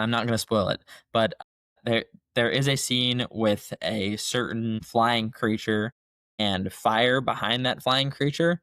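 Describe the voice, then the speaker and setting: A young man hosts his podcast alone, talking in an excited, rambling way about things he loves. His voice is low, gravelly and a bit hoarse, as if he is still recovering from being sick. The sound is dry and close. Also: no room sound. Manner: enthusiastic, rambling, fan gushing. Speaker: podcast host. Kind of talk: casual solo podcast chat.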